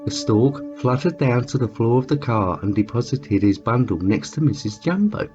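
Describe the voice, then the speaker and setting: A man reading a children's story aloud as a storyteller, with theatrical, drawn-out emphasis. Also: mid-range voice, sing-song, dark tone. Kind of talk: expressive read-aloud storytelling. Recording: muffled lo-fi sound with music behind. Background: music.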